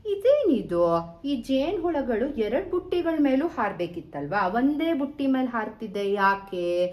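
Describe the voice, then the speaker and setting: A woman's voice: on the high side, sing-song, a little slow, darkish tone, voice pushed up. A woman storyteller voicing curious, astonished courtiers with a wondering, questioning manner. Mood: puzzled wonder.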